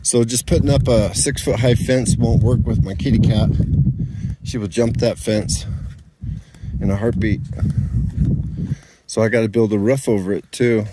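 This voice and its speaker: A man's voice: lowish voice, fairly even intonation, normal speed, pauses often, very noisy. A man giving a casual tour of his dry desert garden, rambling and thinking aloud while filming on his phone outdoors. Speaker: home gardener.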